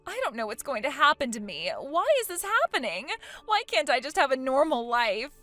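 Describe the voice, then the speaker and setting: A woman's voice: high voice, big pitch swings, normal speed, a bit bright, faint music behind. A woman voicing a spoiled, vain woman in her late twenties in acted story dialogue, dramatic, whiny and indignant. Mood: panicked. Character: spoiled, vain, panicky 29-year-old woman.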